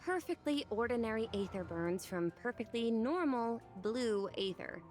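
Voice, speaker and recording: A woman's voice: a little high, animated, moderate pace, darkish tone, natural voice. An actress playing a sunny, clever, defiant young girl scientist in a video game, polite with a coy, teasing manner. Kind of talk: video game character dialogue. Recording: music behind the voice.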